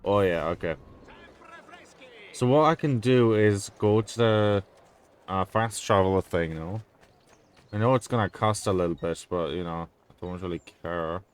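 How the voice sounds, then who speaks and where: Polish native guy chatting over gameplay, lots of ums, smooth lowish voice. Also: moderate pace, long pauses. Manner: rambling and hesitant, with frequent fillers and casual swearing. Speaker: gameplay video creator. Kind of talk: casual gameplay commentary.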